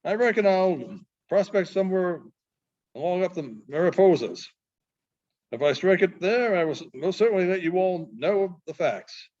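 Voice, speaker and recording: An easygoing, modest Old West prospector , read aloud by a man, high in pitch. He talks in plain, folksy, halting phrases, storybook style, with a slightly dull sound. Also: lively intonation, darkish tone. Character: easygoing gold prospector, modest good loser.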